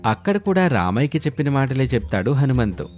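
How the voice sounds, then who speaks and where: A man's voice: mid-range voice, sing-song, fairly quick, a little mellow. A man giving scripted narration of a moral story as storyteller. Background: background music.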